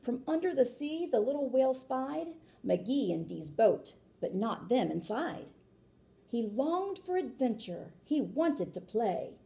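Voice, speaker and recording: A woman reading a children's picture book aloud like a teacher, animated, with explanatory asides and questions to her listeners. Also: a little high, unhurried, gravelly.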